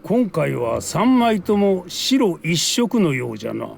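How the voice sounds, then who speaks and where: A man playing a gruff, impatient old man in a Japanese video game, with old-fashioned turns of phrase. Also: high-pitched, sing-song, normal speed, darkish tone, exaggerated voice. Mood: grave. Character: gruff elderly man, impatient and worried for a child.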